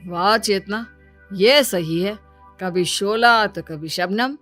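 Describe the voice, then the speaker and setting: A woman's voice: medium pitch, takes it slowly, music in the background, natural voice. A woman voicing an exasperated young husband in a cartoon story, complaining and sarcastic. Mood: sarcastic, accusing.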